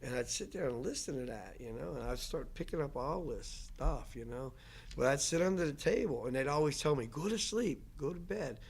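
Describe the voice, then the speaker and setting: A man, giving a talk about his life in an unhurried, reflective way. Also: on the high side, animated, breathy edge. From Louisiana. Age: 60s. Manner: unhurried, reflective, trailing restarts. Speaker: guest lecturer. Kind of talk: personal storytelling talk.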